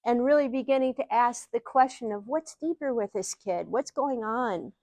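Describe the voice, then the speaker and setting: Higher-pitched Midwestern American woman, dry sound. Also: moderate pace, darkish tone, no room sound. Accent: Ohio Midwestern American. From Ohio, USA. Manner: earnest, uses rhetorical questions. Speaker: speaker, psychologist. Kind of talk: live teaching talk to an audience.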